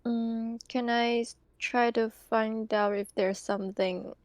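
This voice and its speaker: Unhurried woman, dry close sound. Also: a little high, steady tone, no room sound. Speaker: tabletop game player. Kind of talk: casual game chat.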